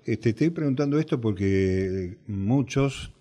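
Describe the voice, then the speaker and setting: A man's voice: lowish voice, animated, measured pace, dark tone. A man with an Argentine accent, hosting a program and interviewing a guest in a conversational style.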